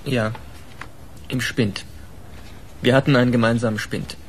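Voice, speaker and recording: A man's voice: mid-range voice, steady tone, a little slow, a little hiss or hum, close to an everyday voice. An actor playing a defensive, fed-up policeman under questioning in a radio crime drama.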